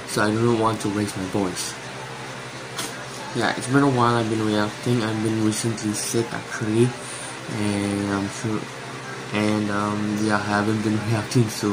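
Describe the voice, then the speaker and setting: A young man reacting to an animated series, talking quietly and haltingly in a small room into a phone. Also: background noise. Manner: quiet, halting, low-voiced to avoid waking someone. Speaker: reaction video host. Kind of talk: hushed casual commentary. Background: series audio playing.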